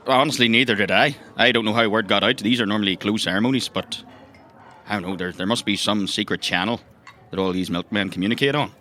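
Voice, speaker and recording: A man's voice: on the low side, fast talker, pushed and bright. A man playing a sympathetic, plain-spoken colleague in improvised tabletop roleplay, reassuring in manner.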